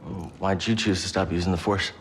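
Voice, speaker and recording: An actor playing a reserved, earnest young Jedi adventurer in a video game, with an understated, sincere delivery. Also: male voice, sits low, fairly even intonation, a little fast, slight background noise, natural voice. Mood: guarded. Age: young adult. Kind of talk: cinematic game dialogue. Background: music.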